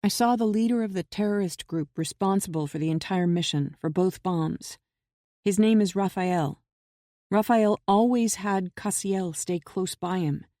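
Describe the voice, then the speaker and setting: A woman voicing a calm, intense young woman who sees dark visions, speaking with grave, matter-of-fact firmness in an audiobook. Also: mid-range voice, normal speed, dry recording, clean studio sound, natural voice. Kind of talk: audiobook character reading.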